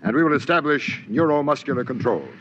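An actor playing a stern, domineering alien military commander in an old-time radio science fiction drama, imperious and clipped. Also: male voice, medium pitch, lively intonation, quick pace, fairly bright tone, lightly acted. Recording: muffled, noisy old radio recording.

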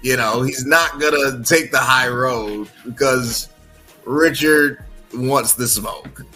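A man's voice: mid-range voice, slow pace, bright, forceful tone, soft background music. A man hosting a casual sports talk podcast, chatty, often checking in with "right?". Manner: chatty, frequent "right?" tags. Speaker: podcast host.

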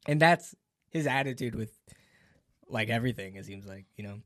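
A man's voice: fairly low pitch, moderate pace, a bit bright, no echo, clean studio sound. A man in a casual film discussion, retelling scenes with animation and frequent laughter.

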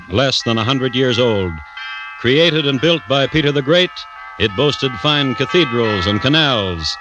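A man hosting and narrating a 1950s radio drama in a dry, wry, measured storytelling manner. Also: medium pitch. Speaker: host and narrator. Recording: noisy vintage radio recording.

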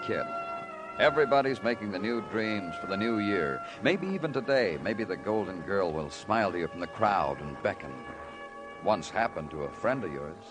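An actor playing a world-weary New York police detective in a 1950s radio crime drama, with clipped questions and moody, poetic narration. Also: male voice, lowish voice, poor audio. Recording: old radio recording, music behind.

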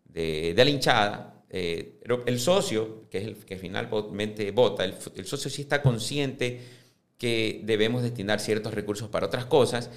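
A man in his fifties answering interview questions on a podcast, speaking in a measured way that often restarts and repeats words as he builds his points. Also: lowish voice. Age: 50s. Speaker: club presidential candidate.

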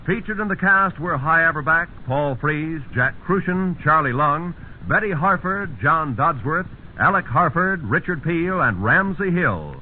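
A man's voice: fairly high pitch, expressive, unhurried, hardly any pauses, a little hiss or hum. A man announcing for 1950s network radio in a polished, emphatic manner. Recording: tinny 1950s radio recording. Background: faint music.

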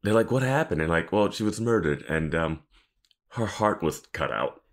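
A man's voice: low voice, lively intonation, normal speed. A man co-hosting a podcast, casually riffing on a TV episode with incredulous, slangy asides and mock quotes. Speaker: podcast co-host. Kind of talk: casual chat commenting on a TV episode.

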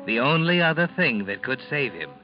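An actor playing an omniscient, sinister narrator in an old radio thriller, speaking in an ominous, accusing way. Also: male voice, medium pitch, big pitch swings, normal speed, lightly acted. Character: omniscient sinister male narrator addressing the guilty woman. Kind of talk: 1940s radio thriller narration, second person. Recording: muffled vintage radio recording, loud music. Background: dramatic musical score.

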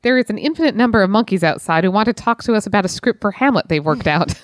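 A woman's voice: mid-range voice, lively intonation, fast, no echo. A woman co-hosting a podcast in casual chat, agreeable and feeling her way through thoughts. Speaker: podcast co-host.

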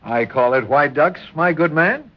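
An actor in an old radio drama playing a dutiful, weary ship's officer who is conciliatory and dryly humorous. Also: male voice, on the high side, animated, a little slow. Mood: dryly genial. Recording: muffled old radio recording.

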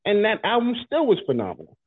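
A man's voice: high-pitched, huge pitch swings, fast, phone-quality sound, a little hiss or hum. A congested man hosting a radio talk show, arguing insistently and often repeating himself. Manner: argumentative, insistent, repeats words. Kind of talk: casual debate chat.

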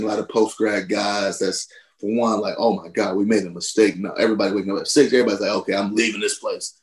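Laid-back man telling stories, low voice. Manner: animated, laughing, jumps high when quoting himself. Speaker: podcast guest, former college football player. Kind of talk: casual storytelling.

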